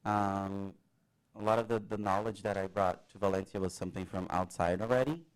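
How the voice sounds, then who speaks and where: Young man, native Portuguese speaker with a Brazilian accent, very deep voice, loose hesitant interview chat. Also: fairly even intonation, poor audio. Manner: rambling, halting with many fillers and laughs. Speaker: student guest.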